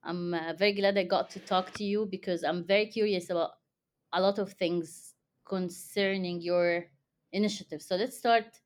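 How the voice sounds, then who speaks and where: A woman's voice: on the low side, fairly even intonation, moderate pace, slight background noise. A woman hosting a podcast, speaking in a conversational interview style. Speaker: podcast host.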